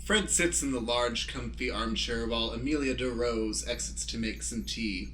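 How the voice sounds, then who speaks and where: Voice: mid-range voice, moderate pace, fairly bright tone. Stage-direction narrator with faint noise. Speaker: stage manager reading stage directions. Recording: light background noise.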